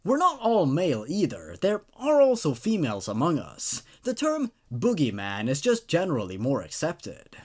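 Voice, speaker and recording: Man as a theatrical boogeyman salesman, lively and high-pitched. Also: normal speed, hardly any pauses. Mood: proud, salesmanlike. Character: cheesy shapeshifting boogeyman pitching his scare service to parents.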